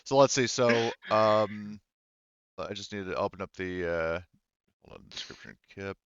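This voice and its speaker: A man runs a tabletop roleplaying game in a smooth, clean voice. He narrates and rules casually, joking and swearing freely. The sound is close and dry but somewhat muffled. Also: fairly low pitch, unhurried, dry recording. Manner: profane and jokey. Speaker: game master.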